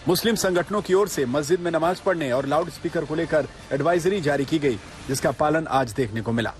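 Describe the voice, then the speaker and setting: A man's voice: on the high side, fairly quick. A man narrating a scripted television news report as a voice-over. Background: light background music.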